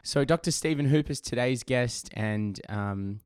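A man's voice: medium pitch, a little slow, smooth, dry recording, clean studio sound. A man hosting his podcast, chatty and enthusiastic with lots of fillers, his voice still recovering from laryngitis. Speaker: podcast host. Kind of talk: casual podcast intro and interview.

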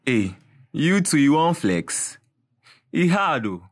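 A man acts a boastful rich Ghanaian lad in a radio play. His pitch is very lively, while his delivery is unhurried and drawn out. He is breezy and teasing. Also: full character voice. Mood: amused, mocking. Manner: jokey, mocking, drawn-out. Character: breezy, boastful rich young male friend.

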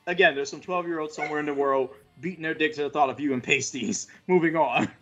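A man's voice: on the high side, steady tone, fast talker, a bit bright, dull audio, soft background music. A man around forty hosting a casual podcast, rambling through stats with crude jokes and many fillers. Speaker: podcast host.

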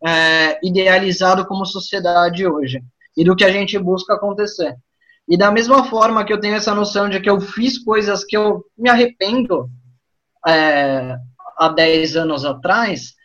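A man's voice: sits high, a bit bright, highs cut off. A man in his thirties, a podcast guest, speaking thoughtfully and hesitantly, with many fillers. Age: 30s. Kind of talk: reflective casual conversation.

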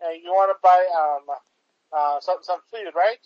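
Man, high-pitched co-host, halting delivery with stacked fillers, dry lo-fi sound. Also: dry recording. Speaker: podcast co-host. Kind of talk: casual political chat.

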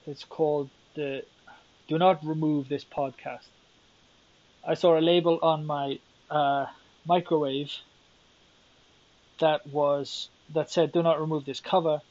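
A man's voice: fairly high pitch, muffled recording. A young Welsh man hosting a solo podcast, thinking aloud and rambling with self-deprecating asides. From Wales. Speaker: podcast host.

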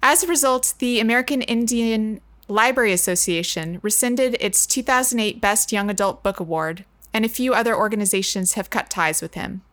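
A woman's voice: on the high side, fairly bright tone. A woman hosting a podcast, moving between scripted introduction and friendly discussion. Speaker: podcast host.

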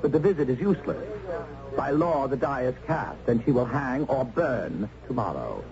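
An arch, animated colonial gentleman. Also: medium pitch, normal speed. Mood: cool, dismissive. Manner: arch, smooth, archaic phrasing. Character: suave, cold colonial gentleman, a knight. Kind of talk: old-time radio drama acting. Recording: muffled old radio, crowd murmur behind.

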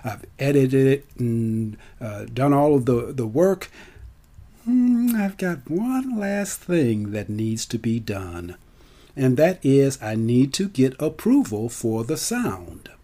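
Animated man storyteller, slow and mellow. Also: mid-range voice. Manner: theatrical, emphatic, exclamatory storytelling. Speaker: storyteller and filmmaker. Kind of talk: casual spoken monologue to an audience.

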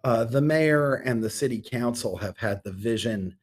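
A middle-aged man speaking as an interview guest in an earnest, measured way with deliberate pauses. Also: mid-range voice, a little hiss or hum. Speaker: podcast guest, theatre artistic director. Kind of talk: conversational interview talk.

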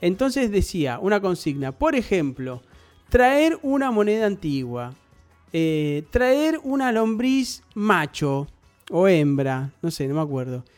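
Argentine male radio host, rambling and chatty, fairly high pitch, soft music bed. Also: sing-song, measured pace. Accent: Argentine Rioplatense. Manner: chatty, rambling, peppered with tag questions. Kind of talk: nostalgic storytelling on air.

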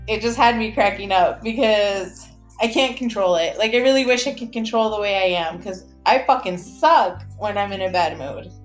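Excitable woman hosting a chatty podcast. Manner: excited, fast and high-pitched, stretching words, swearing often; drops Spanish phrases into her talk. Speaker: podcast host. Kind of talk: casual podcast monologue.

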